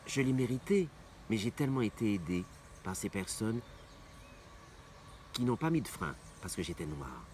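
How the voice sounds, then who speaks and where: A man's voice: on the low side, sing-song, moderate pace, long pauses, darkish tone. A middle-aged man from Martinique, an opera singer answering interview questions with passion, repeating phrases for emphasis. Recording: faint background noise.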